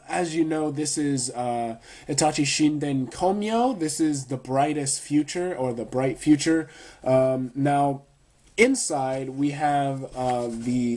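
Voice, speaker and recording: A man's voice: mid-range voice, dark tone. A man reviewing an anime episode in a casual video, talking with many fillers and restarts while a bit sick.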